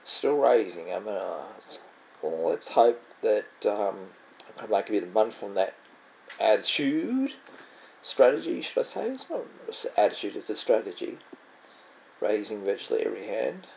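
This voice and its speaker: A man's voice: lowish voice, big pitch swings, moderate pace, gravelly, darkish tone, slight background noise, rough recording. A man with an Australian accent, commenting casually on his own online poker game, musing aloud and often trailing off to correct himself. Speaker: vlogger playing online poker. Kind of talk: casual commentary over gameplay.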